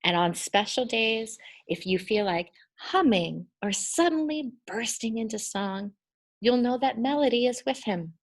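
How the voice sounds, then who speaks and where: A woman reading a children's story aloud in an expressive, deliberate and emphatic storytelling style. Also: on the high side. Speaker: storybook reader.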